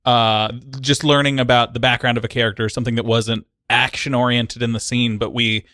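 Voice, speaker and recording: A man's voice: medium pitch, flat delivery, brisk, pushed and bright, dry recording, clean studio sound. A man giving casual improv feedback as a coach, explaining things in an encouraging way.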